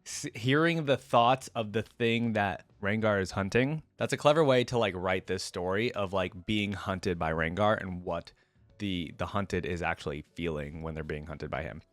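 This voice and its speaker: Low-voiced man reading a story aloud. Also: normal speed, no room sound. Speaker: reaction video host.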